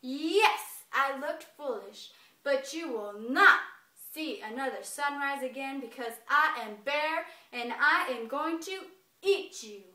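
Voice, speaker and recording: A woman doing a loud, menacing bear. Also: lively intonation, slow, full character voice. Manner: loud, booming threats. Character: big hungry male bear, menacing and proud. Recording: roomy, from a distance.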